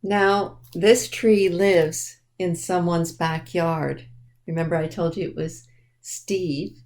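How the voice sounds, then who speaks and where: Slow-paced woman reading for children. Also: fairly low pitch, steady tone, darkish tone. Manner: explanatory, talks directly to young listeners with simple questions. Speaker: library storytime reader. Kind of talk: reading a picture book aloud to children.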